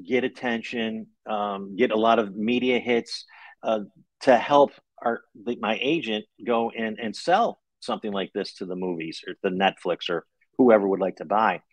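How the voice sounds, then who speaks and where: A man's voice: lowish voice, dry recording, rough recording. Male, middle-aged, American. Guest on a talk show, conversational delivery, dry recording with little room sound. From Buffalo, New York, United States. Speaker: guest, novelist. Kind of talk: conversational interview answers. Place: room at home, video call.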